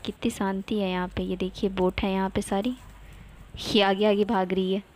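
A woman's voice: medium pitch, little pitch movement, normal speed, a little mellow, a little hiss or hum. A young woman from India narrating a casual travel vlog, cheerful and chatty, pointing things out to her viewers. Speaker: vlogger.

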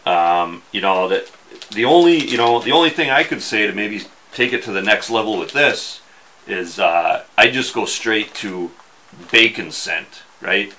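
A middle-aged man with a fairly low but bright, forceful voice. He chats casually to the camera like an outdoors host, in a folksy, halting way with dry wit. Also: lively intonation, slight background noise, low-quality recording. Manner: folksy, wry, halting delivery. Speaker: host of an outdoors fishing channel. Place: small indoor workshop.

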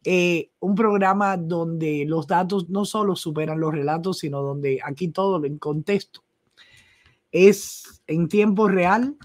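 A woman's voice: fairly low pitch, a little slow, a little mellow. A middle-aged woman with a Cuban accent hosting a live news show from a small home studio, chatting casually and affectionately while greeting viewers by name. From Cuba. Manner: chatty, affectionate, reads viewer comments aloud. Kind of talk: casual live-stream chat. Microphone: desk microphone.

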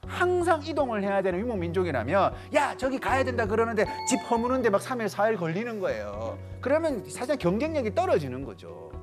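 A man in his thirties giving a lively lecture to a studio audience, acting out little quoted voices as he goes. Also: sits high. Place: large TV studio stage with audience.

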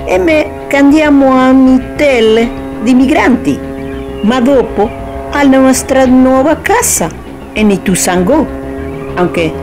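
A woman's voice: mid-range voice, natural voice. A woman playing an anxious, pleading Italian immigrant wife with an Italian accent in a radio soap. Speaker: radio drama actress. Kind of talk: radio soap opera acting.

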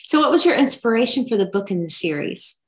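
A woman's voice: on the high side, very animated, quick pace. A woman in her forties interviewing a guest as a podcast host, recorded over a video call. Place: indoor room with framed records on the wall, on a video call. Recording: roomy, echoing video call.

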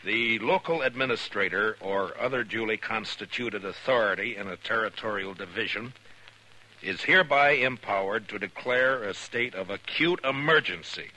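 An American actor playing a weary, stern, principled frontier marshal in a 1950s radio western. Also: male voice, on the low side, moderate pace, pushed and bright, poor audio. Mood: pensive, urgent. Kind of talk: 1950s radio drama acting. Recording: 1950s radio recording, faint noise.

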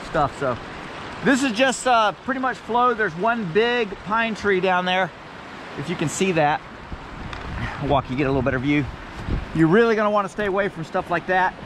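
A man's voice: sits high, lively intonation, normal speed, noisy background. A middle-aged American man chatting casually and explaining things in an informal, easygoing way as a kayaking vlog host, recorded outdoors on a close action camera. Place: outdoors on and beside a river.

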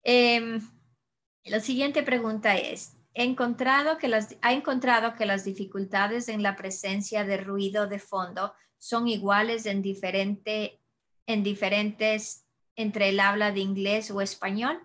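A woman in her thirties, an audiologist, answering audience questions in a webinar over a video call, calm and explanatory with frequent restarts. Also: mid-range voice, a little slow. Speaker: audiologist presenter. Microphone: webcam video call.

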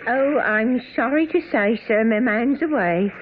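Actress as anxious wife, high voice, deferential, chatty, radio drama, tinny sound. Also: female voice, a little slow, natural voice. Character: worried working-class boatman's wife, deferential and chatty. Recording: tinny band-limited radio broadcast, faint hiss.

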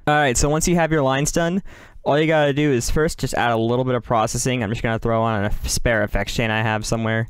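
A man narrating a software tutorial in a casual, instructional way as its host. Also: mid-range voice, steady tone, fast talker, clear, resonant tone, no echo, studio quality.

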